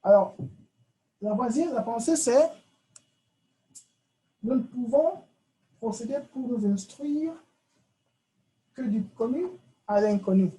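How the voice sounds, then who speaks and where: A man's voice: extremely high pitch, expressive, slow, pauses often, mellow, low-quality recording. Hesitant man giving a speech. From Cameroon. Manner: informal, hesitant with frequent fillers. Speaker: club member giving the thought of the day. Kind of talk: short club speech with slides. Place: meeting in front of an audience.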